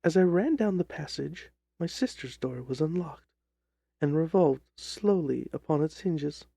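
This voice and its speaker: A man voicing a distressed young gentlewoman who tells her grim story in a formal, earnest, theatrical way, as in an audiobook drama. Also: fairly high pitch, animated, a little slow, gravelly, slightly rough, no room sound, full character voice. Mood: fearful, grave. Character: distressed young gentlewoman recounting her sister's terrifying death.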